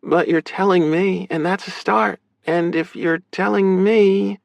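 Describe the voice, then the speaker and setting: Audiobook big sister, played by a man: lifted pitch, frank, earnest, caring, emotionally open. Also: fairly even intonation, normal speed, gravelly, dry recording. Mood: hopeful. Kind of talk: audiobook character dialogue.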